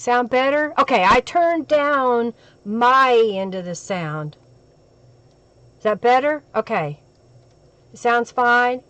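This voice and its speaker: Woman, crackly hoarse voice, slightly high, unhurried, chatty live-stream crafter. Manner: chatty, talks to viewers while she works. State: hoarse from a cold.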